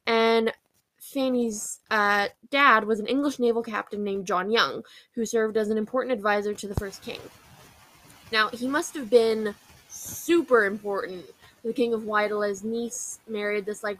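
A woman's voice: fairly high pitch, fairly bright tone, no echo, rough recording. A young woman hosting a history podcast, telling the story in a casual, chatty way, full of fillers and wry asides.

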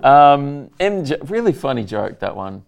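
A man in his thirties hosting a casual podcast chat with a deadpan delivery. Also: mid-range voice, unhurried, creaky, noisy background. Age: 30s. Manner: deadpan, reads out patron names. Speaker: podcast host.

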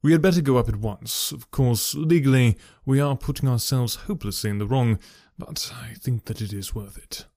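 A man voicing a composed Victorian detective who speaks in a measured, reasoned way. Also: medium pitch, darkish tone, no room sound, studio quality, close to an everyday voice. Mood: calm.

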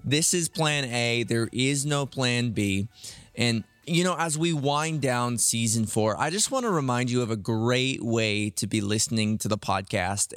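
A man hosting a podcast, reading an upbeat, deliberate intro. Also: medium pitch, a bit bright, dry recording, clean studio sound. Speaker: podcast host. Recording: faint music behind.

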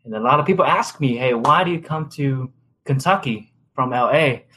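A man's voice: mid-range voice, expressive, normal speed, sharp tone, slight background noise. A young man from China with a Chinese accent, talking casually as an interview guest. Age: young adult. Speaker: podcast guest, company director.

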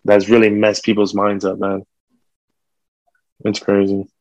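A man's voice: on the low side, monotone, measured pace, no room sound, highs cut off. A man in casual conversation, talking informally with street slang.

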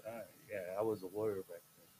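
A man in his fifties, a guest chatting casually on a podcast, playful and jokey, with sudden loud bursts and laughs mid-sentence. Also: on the low side, steady tone, fast talker, rough recording. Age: 50s. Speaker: guest, host's father.

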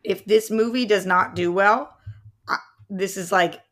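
A woman's voice: medium pitch, unhurried. A woman co-hosting a podcast, chatting casually about films with an emphatic, enthusiastic fan's way of talking. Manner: emphatic, enthusiastic fan talk. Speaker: podcast co-host. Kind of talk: casual film discussion chat.

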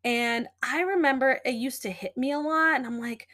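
A young woman hosts her own podcast, talking straight to the listener in a loud and lively way. Her voice sits a little high, and she leans on fillers as she makes her points with emphasis. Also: normal speed. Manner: animated, emphatic, chatty with many fillers. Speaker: podcast host. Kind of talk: casual solo podcast talk.

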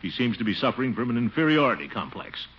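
A man's voice: medium pitch, very animated, fast talker, natural voice. A muffled, hissy old radio recording of a man playing a fussy, fond uncle. His theatrical voice swoops up and down with pompous exclamations, in the manner of 1940s radio comedy. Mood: earnest, explaining. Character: fussy, fond middle-aged uncle, a pompous civic official.

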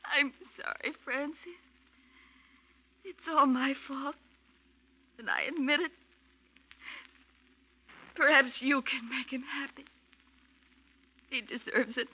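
A woman's voice: high voice, steady tone, takes it slowly, long pauses. A woman playing a wounded, fragile wife who blames herself, in an emotional 1940s radio melodrama style. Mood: resigned. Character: distraught, wounded young wife, fragile and self-blaming. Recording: dull vintage radio recording.

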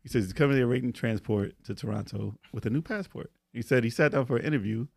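A man's voice: mid-range voice, fast talker, creaky, a little mellow, dry recording, clean studio sound. A man co-hosting a video game podcast, reading out news stories and laughing often between lines. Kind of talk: casual podcast chat.

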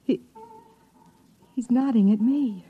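High-voiced anxious American wife. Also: female voice, takes it slowly, breathy edge, narrow, tinny audio, heavily acted. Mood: frightened. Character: anxious, fearful wife fleeing pursuers. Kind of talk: old-time radio drama acting. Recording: old radio recording, crowd and music behind.

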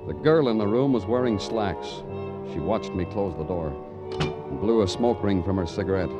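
An actor playing a weary, wry police detective who speaks in clipped, lyrical lines in an old-time radio drama. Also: male voice, on the low side, moderate pace, close to an everyday voice. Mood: brooding, wry. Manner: brooding, lyrical, clipped delivery. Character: weary, wry, poetic male police detective narrating his case. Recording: vintage radio recording with music.

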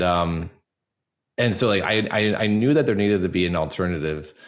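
A young man with a deep, low-pitched voice speaks as a podcast guest. He is conversational and earnest, wandering through his thoughts with plenty of "like" and "you know" along the way. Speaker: podcast guest, actor and audio drama creator. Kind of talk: casual conversational interview answers.

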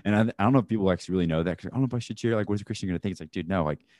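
A man's voice: sits low, racing, a little mellow. A young man hosting a podcast, chatting casually and enthusiastically with a guest and laughing often. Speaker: podcast host.

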